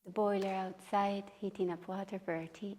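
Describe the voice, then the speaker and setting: A woman leading a guided meditation as a teacher, speaking gently and without hurry, leaving long pauses. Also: medium pitch, level tone, a little hiss or hum. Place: room at a retreat.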